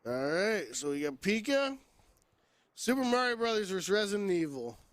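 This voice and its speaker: A man's voice: high-pitched, very animated, moderate pace, dry recording. A man hosting a podcast with casual, playful banter and teasing, recorded close to the microphone. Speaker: podcast host.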